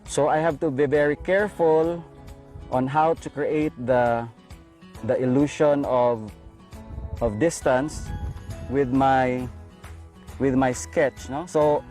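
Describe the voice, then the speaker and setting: A man's voice: mid-range voice, darkish tone. A Filipino man giving an instructional demonstration as an art teacher, explaining each step.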